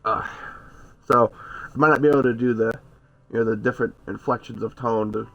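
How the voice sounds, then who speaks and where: A man's voice: mid-range voice, steady tone, fairly quick, vocal fry, a bit bright, dull audio, faint music behind. A young man with a stuffy nose and scratchy throat, reading a visual novel aloud on stream with casual asides. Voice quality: nasal. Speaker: game streamer.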